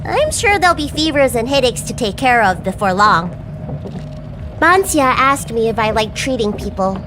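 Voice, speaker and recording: A woman's voice: on the high side, very animated, moderate pace, noisy background. A woman playing a proud, bratty young girl healer with a haughty, petulant manner in a video game. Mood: matter-of-fact, perky. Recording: game dialogue over background music.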